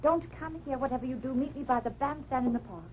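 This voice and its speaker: A woman's voice: sits high, quick pace, lightly acted. Underground fighter's wife, radio drama female actor: secretive, weary, formal, earnest; dull old recording. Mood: urgent, secretive. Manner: careful, formal, earnest phrasing. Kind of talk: 1940s-50s radio drama acting. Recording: muffled, noisy old-time radio recording.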